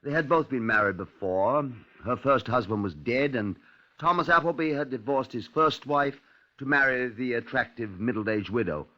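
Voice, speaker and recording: Actor as a measured British inspector, mid-pitched. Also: male voice, sharp tone, natural voice. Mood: measured, wry. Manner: measured, storytelling. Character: measured, wry male chief inspector recounting a case. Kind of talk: 1950s radio drama narration. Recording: dull old radio recording.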